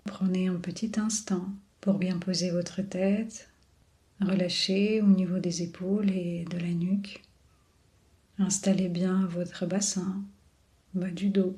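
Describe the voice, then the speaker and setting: A woman leading a guided body scan meditation in a soothing, unhurried manner with long pauses. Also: on the low side, mellow. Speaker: yoga and relaxation guide.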